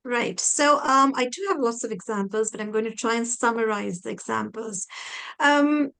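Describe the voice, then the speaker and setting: Woman panelist from Pakistan, clear resonant voice, slightly high, earnest video-call delivery. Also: moderate pace, fairly bright tone. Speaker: executive coach.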